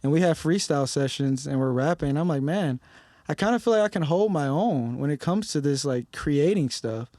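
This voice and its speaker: A young man from Detroit talks in a loose podcast chat with a voice pitched a little above most men's. He gets heated and swears for emphasis. The sound is close and dry, with no room around it. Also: animated, moderate pace, dark tone, dry recording. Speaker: rapper guest. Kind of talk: casual podcast conversation.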